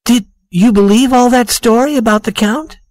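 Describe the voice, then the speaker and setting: A woman's voice: on the high side, normal speed, a little mellow, voice pushed up, close to an everyday voice. A woman voicing an anxious young woman in a storybook, pleading and earnest with her sweetheart. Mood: hopeful.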